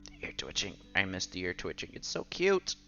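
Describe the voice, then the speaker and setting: A man reading a visual novel aloud as a streamer, with playful, casual asides and quiet game music behind him. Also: low voice, wildly swooping pitch, brisk. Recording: slightly dull, faint game music behind.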